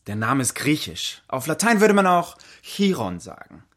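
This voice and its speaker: An articulate, well-read man in a radio play. His pitch moves around in a lively way as he explains and debates, colloquial and good-natured. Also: normal speed. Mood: calm, explanatory. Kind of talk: radio play narration and dialogue.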